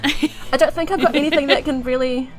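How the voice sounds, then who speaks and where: High-voiced woman chatting over a game. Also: fairly even intonation, rapid-fire. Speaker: player in a tabletop game podcast. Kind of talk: casual game-table chat.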